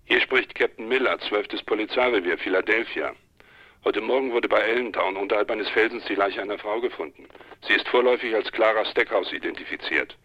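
An actor playing a police captain who speaks formally and officially over the phone in a radio play. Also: male voice, lowish voice, fairly quick, sharp tone. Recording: muffled phone line.

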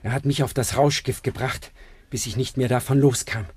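A broken, self-pitying addict and forger in a 1960s radio crime play. His husky, mid-pitched voice sounds agitated and embittered. The recording is close and dry. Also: fairly even intonation, normal speed, a little mellow, no echo. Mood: bitter, confessing. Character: bitter, broken, drug-addicted male forger held prisoner. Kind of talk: 1960s German radio crime drama acting.

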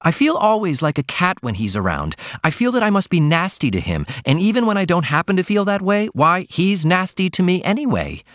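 A frank, innocent young woman, put on by a male reader, pours out her feelings in a raised, lively voice. She speaks earnestly and with feeling, like a storybook heroine. The sound is dry and close. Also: high-pitched, a little fast, few pauses, dry recording, pitched above the performer's own voice. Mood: earnest confiding. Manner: confiding, emotional, earnest. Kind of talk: audiobook character reading.

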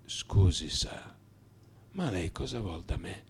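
A man's voice: low voice, expressive, creaky, slight background noise, pitched below the performer's own voice, full character voice. A man voicing a meek, put-out male driver who speaks hesitantly, in a radio monologue. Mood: annoyed. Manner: hesitant, halting.

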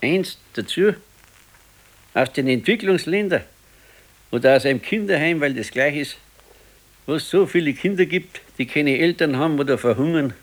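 A man's voice: a little high, lively intonation, normal speed, long pauses. An actor playing a gloomy, ailing pensioner in his sixties in a radio play, talking plainly and colloquially with a Bavarian accent. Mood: brooding. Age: 60s. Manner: plain, colloquial, brooding complaint. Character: gloomy ailing old pensioner husband, fearful and brooding. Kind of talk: naturalistic radio play dialogue.

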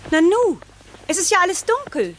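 An actress playing a cheerful, affectionate wife in a 1960s German radio play. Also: female voice, very high voice, sing-song, normal speed, noisy background. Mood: delighted.

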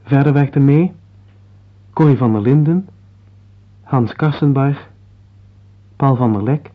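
A man working as a radio announcer, reading scripted closing credits in a measured, formal way. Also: medium pitch. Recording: narrow tinny old radio broadcast.

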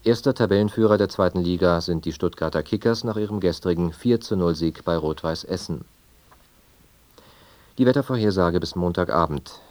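A man reading a scripted radio news and weather bulletin in standard German from Germany, formal and measured. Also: low voice, darkish tone, no echo. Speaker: radio newsreader.

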